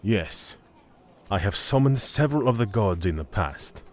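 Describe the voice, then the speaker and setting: Man acting a deep-voiced haughty cleric. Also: lively intonation, unhurried. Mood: proud, composed. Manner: formal, self-assured, matter-of-fact. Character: haughty, rigid male cleric of the order god. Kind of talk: fantasy audio drama acting.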